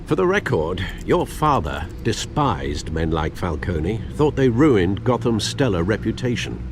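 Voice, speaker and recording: A man's voice: on the low side, sing-song, unhurried, over music. An actor playing a wry, formal older butler with fatherly concern in a video game. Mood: dry, reproachful.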